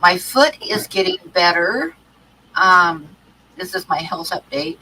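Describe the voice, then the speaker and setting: A woman's voice: on the low side, lively intonation, slow, sharp tone, a little hiss or hum, low-quality recording. A woman with a stuffy cold hosting an art livestream, chatting casually with many ums and restarts. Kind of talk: casual chat to live chat.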